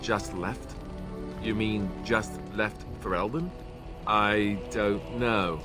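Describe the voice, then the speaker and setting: A man's voice: lowish voice, fairly even intonation, slow pace. A man voicing a young male warrior and former templar trainee in a fantasy video game, speaking with wry, self-mocking humor. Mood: resolute. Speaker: companion character in a video game. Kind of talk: voiced game dialogue. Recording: game audio over background music. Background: game soundtrack music.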